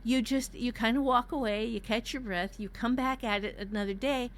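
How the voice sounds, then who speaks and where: A woman's voice: fairly high pitch, steady tone, normal speed, slight background noise. Candid older woman chatting on a podcast. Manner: candid, emphatic, salty-tongued storytelling. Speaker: author and writing teacher, podcast co-host. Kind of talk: casual podcast chat.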